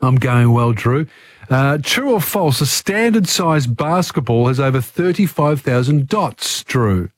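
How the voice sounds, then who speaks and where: A man's voice: medium pitch, lively intonation, dry recording. A man hosting an overnight radio quiz, chatty and joking with callers. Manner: chatty, quick asides, jokey. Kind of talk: casual overnight radio talkback. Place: radio studio. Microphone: studio microphone.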